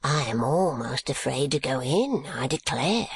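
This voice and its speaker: A man voicing a jittery old male moneylender, speaking slowly and huskily. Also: mid-range voice, expressive, vocal fry, breathy edge, no room sound, heavily acted. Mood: uneasy.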